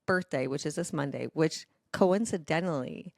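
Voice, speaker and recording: Low-voiced woman chatting on a podcast. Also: moderate pace, creaky, a little mellow, no room sound, clean studio sound. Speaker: podcast co-host. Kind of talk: casual chat.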